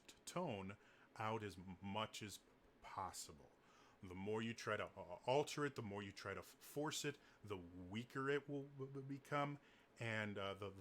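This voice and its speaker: A man with a low, deep voice talks casually through singing advice, often catching and repeating the first sound of a word. A faint hum of room ambience sits under his voice. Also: fairly bright tone. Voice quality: naturally deep voice. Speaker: singing coach. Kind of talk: casual advice talk to camera.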